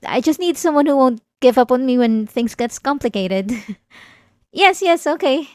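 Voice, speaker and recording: A woman's voice: high-pitched, lively intonation, moderate pace, darkish tone, no room sound, clean studio sound. A woman playing an obsessive, manipulative young therapy patient in an ASMR roleplay, speaking politely and hesitantly with nervous little laughs and defensive insistence. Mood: earnest, pleading. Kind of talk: scripted ASMR roleplay acting.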